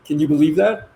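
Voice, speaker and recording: A man's voice: fairly high pitch, gravelly, warm tone, rough recording. A man playing an eager, placating adult son who is a military officer, in naturalistic play dialogue.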